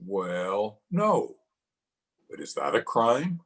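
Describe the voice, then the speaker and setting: A man's voice: on the low side, huge pitch swings, very slow, vocal fry, full character voice. A man playing an exasperated but fond husband in old-time radio drama, with a testy, theatrical delivery. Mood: polite, protective. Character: exasperated, fond husband of nine years, sparring with the maid. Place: at home, recorded over video call.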